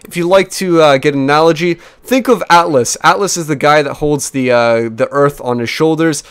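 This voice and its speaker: A young man in his twenties talks through a strategy game replay in a chatty, energetic way, with plenty of fillers and restarts. His voice sits a little high for a man, and it comes across a desk microphone. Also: moderate pace, clear, resonant tone. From New Zealand. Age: 20s. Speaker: game caster. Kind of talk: replay commentary.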